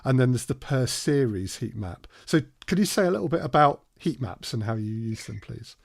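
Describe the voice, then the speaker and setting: A man's voice: mid-range voice, animated, moderate pace, vocal fry, no echo, clean studio sound. A man co-hosting a workshop in a conversational way, feeling for his phrasing and prompting with tag questions. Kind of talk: conversational interviewing.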